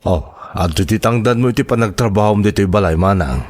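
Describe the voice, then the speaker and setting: A man's voice: lowish voice, brisk, a little mellow, dry recording, clean studio sound, lightly acted. A man with an Ilocano accent playing a scornful, well-off uncle in a radio drama, belittling and grumbling as he talks. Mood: condescending. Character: scornful, well-off uncle grudgingly lending money to relatives.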